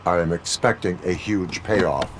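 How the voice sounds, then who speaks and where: Cyborg police officer, very deep voice, flat, clipped, formal, faint background hum. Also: male voice, measured pace. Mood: stern. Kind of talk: video game cutscene dialogue.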